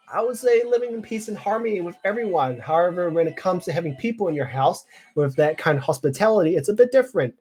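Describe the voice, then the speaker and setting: A man in his twenties chatting casually on a live stream, rambling with many fillers and clearing his throat now and then. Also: a little high, a little mellow. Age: 20s. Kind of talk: casual live chat reading viewer comments.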